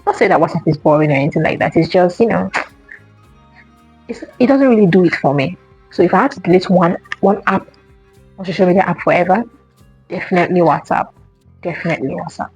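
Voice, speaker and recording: A woman's voice: on the low side, fairly quick, long pauses, a little mellow, husky, dry recording, dull audio, soft background music. A young woman vlogger chatting casually to camera, answering questions and repeating herself with lots of fillers.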